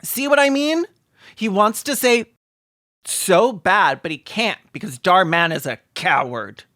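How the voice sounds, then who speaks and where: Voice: medium pitch, lively intonation, a little slow, sharp tone, dry recording. A video commentator giving sarcastic, exaggerated comedic reactions with casual swearing.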